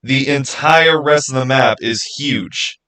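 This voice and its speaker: A man hosting a livestream, answering viewers in casual, halting speech and thinking out loud. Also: medium pitch. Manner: halting, thinking aloud with repeated phrases. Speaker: livestream host.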